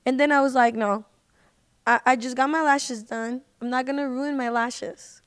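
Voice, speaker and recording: A woman's voice: high voice, steady tone, normal speed, clear, resonant tone, no room sound, studio quality. A woman telling personal stories casually as a podcast guest, speaking in an informal, slangy way and repeating words for emphasis. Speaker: podcast guest, independent singer. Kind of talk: casual personal storytelling in an interview.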